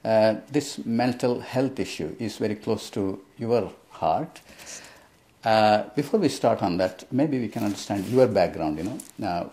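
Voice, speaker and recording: A man's voice: lowish voice, expressive, a little slow, warm tone. A man from India hosting a TV interview, asking his questions politely and with some hesitation. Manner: polite, hesitant, with frequent uh fillers. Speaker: TV host. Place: TV studio.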